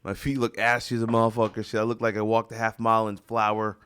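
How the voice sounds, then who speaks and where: A man's voice: fairly low pitch, completely flat delivery, fast talker, a bit bright, clear, resonant tone. A man with an American accent hosting a casual radio interview, joking and talking in loose slang. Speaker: radio talk show host. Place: radio studio.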